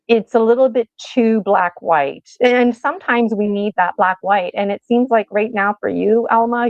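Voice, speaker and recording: A woman's voice: mid-range voice, a little mellow, no room sound, muffled recording. A woman in her forties giving a casual oracle card reading, chatty and rambling, laughing often and acting out little voices. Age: 40s.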